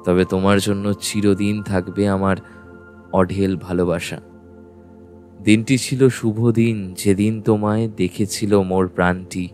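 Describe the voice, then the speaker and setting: Man as slow, low-voiced lovelorn letter writer. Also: steady tone, darkish tone, natural voice. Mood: tender, wistful. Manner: poetic, wistful, deliberate. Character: lovelorn man voicing his unsent love letter. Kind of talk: dramatic reading of a love letter in audio story. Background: music.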